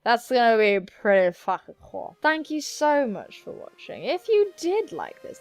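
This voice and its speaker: A woman hosts a playful let's-play with music behind her. Her voice sits on the high side and swings widely in pitch. She is cheeky and theatrical, and swears freely. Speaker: gaming video host. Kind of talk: playful let's-play commentary with read-out game narration.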